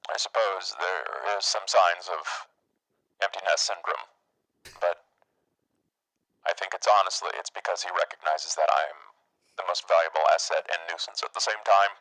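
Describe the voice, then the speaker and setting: A man playing a big, socially awkward vampire in an improvised roleplay, speaking formally and earnestly with halting phrasing. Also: low voice, steady tone, pushed and bright, no echo, rough recording. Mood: pensive. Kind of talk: improvised tabletop roleplay, phone conversation.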